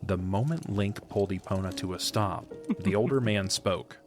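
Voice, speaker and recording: A man's voice: deep voice, moderate pace. A man narrating an audio drama from a script, as a storyteller. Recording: music under the voice.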